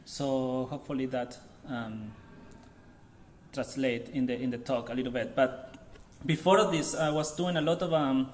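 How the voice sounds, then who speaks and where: A man from Chile in his thirties with a Chilean accent, giving an informal artist talk to an audience in a halting way, searching for words. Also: medium pitch, a little hiss or hum. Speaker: visiting artist.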